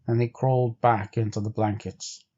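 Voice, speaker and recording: A man reading a dramatic adventure story as a measured, theatrical storyteller. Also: on the low side, flat delivery, mellow, dull audio. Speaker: storyteller, actor. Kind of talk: dramatic audiobook narration.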